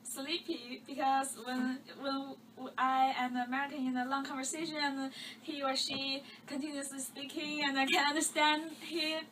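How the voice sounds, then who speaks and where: A woman from China speaks halting, simple English as an international student. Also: high-pitched, flat delivery, a bit bright, far from the mic, a little hiss or hum, low-quality recording. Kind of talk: casual interview answers.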